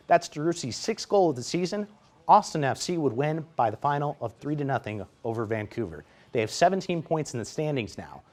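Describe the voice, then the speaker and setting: An American man working as a sports reporter, reading scripted highlight narration with energetic play-by-play delivery.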